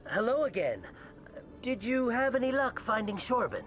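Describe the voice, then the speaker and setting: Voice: medium pitch, big pitch swings, normal speed, fairly bright tone. A stammering, evasive scientist. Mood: nervous. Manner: stammering and defensive. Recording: game scene with music.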